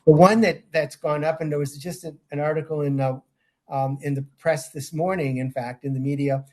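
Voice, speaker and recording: Older man with halting measured speech. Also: fairly high pitch, steady tone, dark tone. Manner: measured, lots of ums and restarts. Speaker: guest, safeguards auditor. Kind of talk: interview answers.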